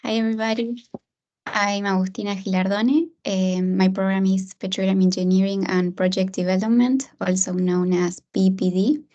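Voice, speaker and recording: Flat, mellow woman from Argentina. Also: mid-range voice, normal speed, highs cut off. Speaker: student panelist.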